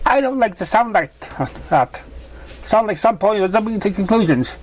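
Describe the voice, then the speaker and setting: Man doing a high-pitched motherly pegasus mare. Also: animated, moderate pace. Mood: concerned. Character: caring motherly pegasus mare, gentle but firm.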